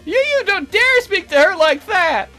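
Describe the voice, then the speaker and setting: Devoted bird servant for a visual novel, played by a man: very high, prim, fawning, fussy, quick to take offence. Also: big pitch swings, pushed and bright, faint music behind, heavily acted. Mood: indignant. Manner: formal, fawning and easily indignant. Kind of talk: visual novel character voice-over.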